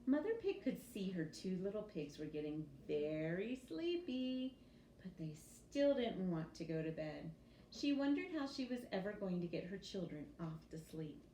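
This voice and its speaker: A woman reading a children's story aloud in an expressive teacher's storytelling style. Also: fairly low pitch, normal speed. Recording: roomy echo with faint background noise.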